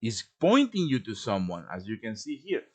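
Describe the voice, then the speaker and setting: A low male voice walks through a software task step by step in an informal way. He thinks aloud as he works, like a streamer giving a casual tutorial. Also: huge pitch swings, moderate pace. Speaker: live stream host teaching quest making. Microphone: computer microphone on a live stream voice chat.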